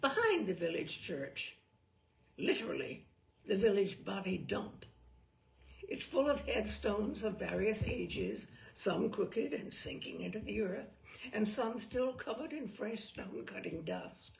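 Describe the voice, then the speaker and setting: A woman reading a humorous book aloud as a storyteller, in a deliberate, theatrical and wry manner. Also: medium pitch, very animated, vocal fry. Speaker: reader of humorous book selections. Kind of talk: dramatic storytelling read-aloud. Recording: distant microphone.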